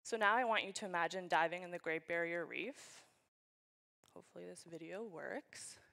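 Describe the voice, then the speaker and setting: A woman's voice: fairly low pitch, moderate pace, gravelly, pushed and bright. Young woman giving a conference keynote. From Canada. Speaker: keynote speaker. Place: large conference hall stage. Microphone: handheld microphone.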